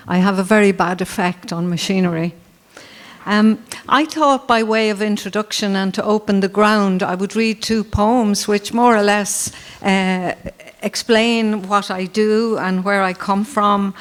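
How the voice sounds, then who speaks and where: An Irish woman poet at a festival panel, reading her poems aloud in a slow, measured way at a microphone. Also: medium pitch. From Ireland. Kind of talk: panel talk and poetry reading. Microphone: handheld or stand mic.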